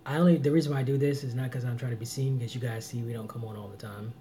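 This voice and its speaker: A man's voice: fairly even intonation, racing, a little hiss or hum. Earnest man in his thirties giving advice. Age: 30s. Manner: earnest, preachy, swings between loud emphasis and low murmurs. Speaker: vlogger. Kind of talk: live-stream advice chat.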